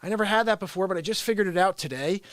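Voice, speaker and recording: A man from the United States hosting an unscripted live stream, rambling excitedly, chuckling and greeting viewers by name. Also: high voice, very fast, dry recording. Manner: hypes the show with catchphrases. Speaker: livestream show host.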